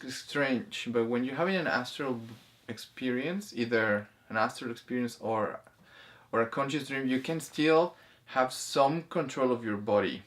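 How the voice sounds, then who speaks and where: A man talking casually as host of a discussion, haltingly, often repeating words and restarting his sentences. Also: mid-range voice. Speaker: host of a spirituality film discussion. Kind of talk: casual conversational commentary.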